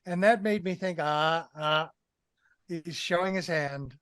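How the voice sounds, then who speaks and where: An older man in his sixties with a high-pitched voice hosts a film review chat. He speaks emphatically, repeating phrases to press a point. The sound is dry and close on a headset. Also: unhurried, a bit bright, smooth, no echo. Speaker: podcast host. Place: indoor room on a video call.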